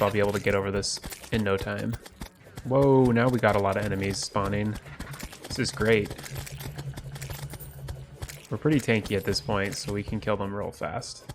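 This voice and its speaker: A man's voice: on the low side, background noise. Congested man doing upbeat game commentary. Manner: upbeat, excited at loot. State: congested from a cold. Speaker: gaming YouTuber. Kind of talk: let's play commentary.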